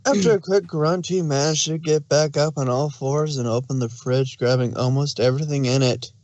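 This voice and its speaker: A man's voice: fairly high pitch, fairly even intonation, normal speed, darkish tone. A man narrating a fan fiction story, reading it aloud.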